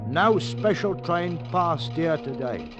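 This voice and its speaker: Brisk railway telegraph clerk, highish voice. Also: male voice, lively intonation, dull audio. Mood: flat reporting. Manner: clipped, matter-of-fact, deferential. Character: brisk, dutiful male railway telegraph clerk reading messages. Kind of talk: 1940s-50s radio drama acting. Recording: vintage radio drama with music behind.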